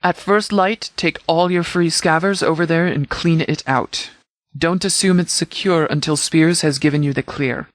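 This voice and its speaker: A man playing a composed, pragmatic military commander in a video game, speaking in a formal, measured, tactical way. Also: fairly high pitch, steady tone, no room sound, close to an everyday voice. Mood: firm, measured. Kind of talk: video game dialogue acting.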